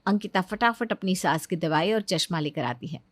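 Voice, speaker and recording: A woman's voice: fairly low pitch, moderate pace, no room sound. A woman reading scripted narration for a Hindi cartoon family story.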